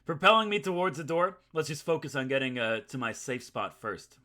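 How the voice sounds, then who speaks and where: A man's voice: a little high, expressive, fairly quick, bright, forceful tone. Man reading as an anxious, gloomy schoolboy narrator. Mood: resigned. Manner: gloomy, wordy inner monologue. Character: anxious, self-loathing schoolboy narrating his inner thoughts. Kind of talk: visual novel read aloud.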